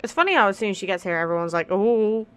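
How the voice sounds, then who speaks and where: A woman's voice: mid-range voice, sing-song, fast, slight background noise. A woman gaming creator giving casual, informal, reactive let's play commentary. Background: faint game music.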